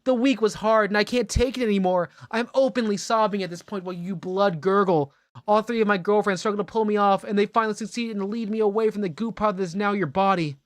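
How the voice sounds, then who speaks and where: Guy doing a furious over the top tough guy rant, highish voice, really dry sound. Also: fairly even intonation, fast, hardly any pauses, no echo, close to an everyday voice. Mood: hysterical rage. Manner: ranting, repetitive, swearing threats. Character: enraged, melodramatic young tough guy bragging about three girlfriends. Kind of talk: mock-dramatic comedic reading.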